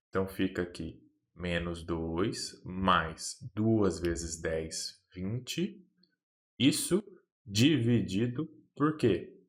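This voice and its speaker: A man with a Brazilian Portuguese accent teaching a math lesson step by step, patiently checking that the viewer follows. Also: on the low side. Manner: patient, keeps checking in with "beleza?".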